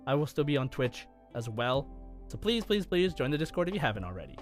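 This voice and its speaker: A man's voice: mid-range voice, sing-song, quick pace, soft background music. A man hosting a gaming podcast, talking casually. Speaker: podcast host.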